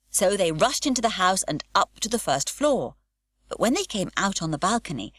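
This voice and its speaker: A woman narrating a children's storybook audiobook in a warm, lively storytelling way. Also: fairly low pitch, no echo, clean studio sound.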